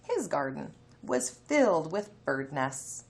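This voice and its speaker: Female elementary teacher, read-aloud lesson: lively intonation, unhurried pace, instructional delivery. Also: deep voice, gravelly. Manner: instructional, sounds out letters and words for children.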